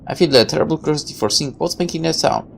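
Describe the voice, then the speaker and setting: Very low-voiced woman reading a game aloud. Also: rapid-fire, creaky, a little hiss or hum. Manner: irreverent, sarcastic asides. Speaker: let's player reading a visual novel aloud. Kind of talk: game playthrough, reading text aloud with offhand commentary.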